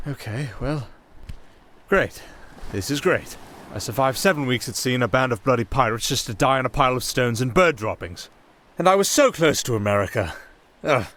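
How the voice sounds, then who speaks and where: A man's voice: mid-range voice, expressive, normal speed, a bit bright, lightly acted. A man acting a nervous, rambling young castaway musician with a sardonic streak, in a fantasy ASMR roleplay. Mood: muttering, rueful.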